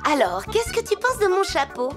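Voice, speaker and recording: A woman voicing a sociable, bossy, childlike animal friend in a French children's cartoon, with animated, expressive delivery. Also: very high-pitched, normal speed. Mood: vain, excited. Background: children's jingly cartoon music.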